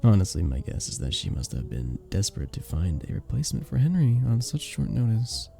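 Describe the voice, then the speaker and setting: A very dark, mellow voice with a gravelly creak and lively rises and dips. It is a charming, sweet vampire bartender, acted out by a man, soft-spoken and courteous in the formal way of an audio roleplay. He sounds modest and gentle throughout. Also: fairly low pitch, brisk, faint music behind, exaggerated voice. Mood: flattered, modest. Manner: gentle, polite, slightly formal, bashful chuckles.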